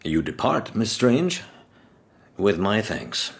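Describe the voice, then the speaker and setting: A man's voice: on the low side, sing-song, takes it slowly, vocal fry, slightly rough, exaggerated voice. A man playing a stern, wealthy middle-aged father in a period radio drama, with formal, clipped speech. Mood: rueful, softening.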